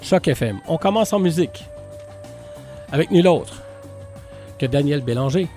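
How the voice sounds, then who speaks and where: A man's voice: a little high, animated, measured pace, dark tone, soft background music. A man with a Québécois French accent hosting a radio interview in the studio, chatty and joking as he asks his questions. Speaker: radio host.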